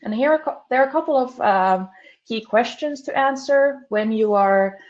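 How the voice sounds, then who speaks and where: A woman from Finland, a customer success specialist, giving a measured webinar presentation with frequent "uh" fillers. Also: fairly high pitch, darkish tone, muffled recording.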